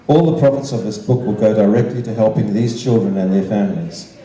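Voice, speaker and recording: Older guy w doing a formal measured speech, really mellow voice, echoey and a bit far from the mic. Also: on the low side. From Zimbabwe. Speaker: guest speaker, art teacher and children's author. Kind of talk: prepared speech to an evening gathering. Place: large indoor function room. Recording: roomy, echoing hall sound, distant microphone.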